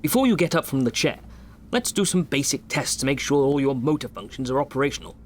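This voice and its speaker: A man playing a brisk, formal, encouraging doctor who gives instructions in a sci-fi video game. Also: medium pitch, expressive, a little hiss or hum, natural voice. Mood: brisk, instructive. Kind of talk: video game tutorial dialogue acting.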